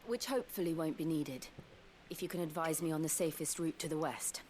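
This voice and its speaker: An actress playing a polite, soft-spoken young woman companion in a video game. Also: low voice, expressive, fairly quick, a little hiss or hum. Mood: concerned. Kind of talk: game dialogue.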